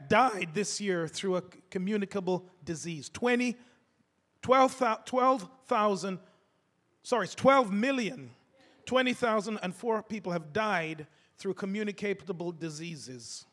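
Gravelly-voiced preacher with a cold. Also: male voice, sits high, a bit bright. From United States. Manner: fervent, calls out to the congregation. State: has a cold. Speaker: pastor. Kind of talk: sermon. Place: church sanctuary. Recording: echoey hall reverb.

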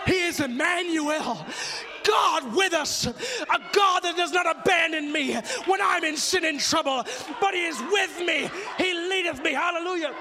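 A man preaching an impassioned sermon with a gravelly voice, fervent and emotional, in a rhythmic, repetitive, declamatory cadence. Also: extremely high pitch, measured pace, raised voice, crowd noise. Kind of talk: impassioned sermon listing the names of Christ. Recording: long reverb of a large hall.

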